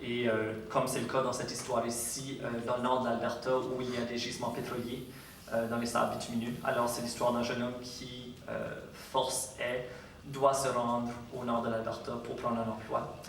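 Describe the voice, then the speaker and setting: Acadian french guy, author reading his stuff, calm steady pace, mic way off in a super echoey hall. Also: medium pitch, moderate pace, distant mic, slight background noise. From Acadia, Canada. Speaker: author giving a public talk. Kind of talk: lecture and literary reading.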